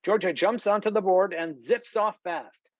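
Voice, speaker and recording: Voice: medium pitch, normal speed, dry recording. A narrator reading scripted stage directions for a screenplay table read over a video call. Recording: dull, lo-fi video call audio.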